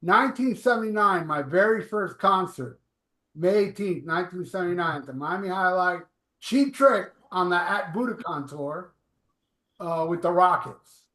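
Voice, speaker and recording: A man's voice: high-pitched, moderate pace, sharp tone, echoey room. A man of sixty co-hosting a casual rock podcast, with teasing, joking banter. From South Florida. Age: 60s. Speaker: podcast co-host. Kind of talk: casual chat.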